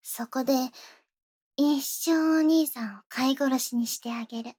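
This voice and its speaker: A woman's voice: sits high, a little slow, dark tone, breathy edge. A woman playing a possessive, sweetly menacing young rich girl in a Japanese ASMR voice drama, with cutesy, coaxing phrasing and whispers. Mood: possessive, coolly threatening.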